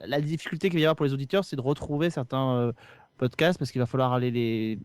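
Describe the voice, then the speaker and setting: A man's voice: mid-range voice, fairly even intonation, fast, darkish tone, smooth, no echo. A man in a casual podcast discussion, hesitant, repeating and correcting himself as he goes. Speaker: podcast host and guest panelist.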